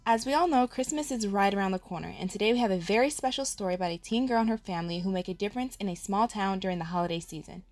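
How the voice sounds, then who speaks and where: A woman's voice: medium pitch, very fast, hardly any pauses, a little mellow, dry recording. A woman reading scripted narration for a story, as its narrator.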